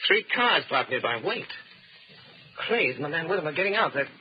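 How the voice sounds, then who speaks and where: A man playing a confident, commanding crime-fighting sleuth in a 1930s radio drama, speaking with measured authority, on an old muffled recording. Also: a little high, sing-song, a little hiss or hum. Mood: watchful, tense.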